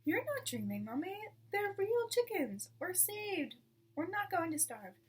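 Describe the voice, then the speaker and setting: A woman voicing an eager, excited small fox child in a storybook, spluttering with enthusiasm. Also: extremely high pitch, a little slow, pitched above the performer's own voice, full character voice. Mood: thrilled. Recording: distant mic with clear background noise.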